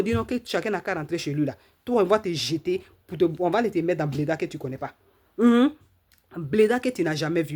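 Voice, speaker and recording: A woman hosting her channel, giving an emphatic, repetitive opinion monologue full of rhetorical questions and drawn-out "mhm"s. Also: fairly low pitch, a little mellow. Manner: fills pauses with drawn-out "mhm". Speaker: channel host.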